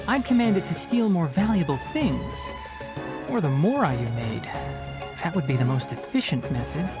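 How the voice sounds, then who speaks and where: An actor playing a sharp young male forest watcher and scholar, speaking in a precise, analytical, matter-of-fact way, with game music behind him. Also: on the high side. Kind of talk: game voice acting. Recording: game audio with clear background music.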